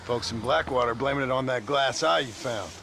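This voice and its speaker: An actor playing a gruff, weary, blunt gunslinger with an American Western accent in a video game. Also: male voice, medium pitch, brisk, noisy background, close to an everyday voice. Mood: alarmed, exasperated. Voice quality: gravelly. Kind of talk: video game cutscene acting.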